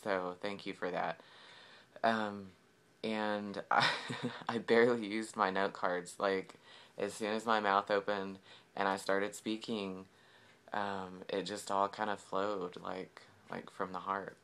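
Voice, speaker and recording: A man's voice: lowish voice, flat delivery, slow pace, a bit bright, clear, resonant tone. A young man, talking casually in a video diary, rambling with lots of fillers and easy laughs. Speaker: vlogger.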